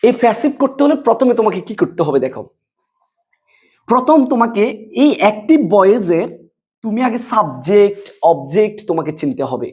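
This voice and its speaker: A woman teaches a class in a lively, swooping intonation. Her voice is husky and sits at a middle pitch for a woman. She explains things step by step, repeating key points and posing questions to her students. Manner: repetitive, question-and-answer explaining. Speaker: English grammar teacher. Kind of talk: board lesson addressed to students.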